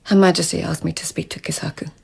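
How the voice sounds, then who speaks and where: A woman's voice: low-pitched, level tone, fast, vocal fry, husky, dry recording, heavily acted. An actress playing a sardonic, guarded court lady in a radio drama, speaking in a dry, wry, clipped way. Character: sardonic, guarded Japanese court lady, sharp-witted diarist. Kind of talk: naturalistic radio drama acting.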